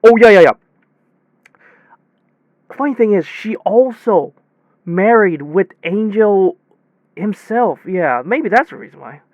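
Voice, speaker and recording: A man playing a rattled technician in an audio drama, telling his story conversationally in halting, repetitive bursts full of false starts and self-corrections. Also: high voice, expressive, dry recording, muffled recording. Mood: amazed, rambling. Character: rattled, rambling young technician retelling a bizarre demon encounter. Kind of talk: hobby audio drama monologue, conversational retelling.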